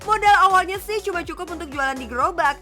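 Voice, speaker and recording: A woman's voice: very high voice, fast. A woman, narrating a scripted TV magazine feature in a playful, chatty way. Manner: playful, chatty, addresses viewers as boss. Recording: music bed under the voice. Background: background music.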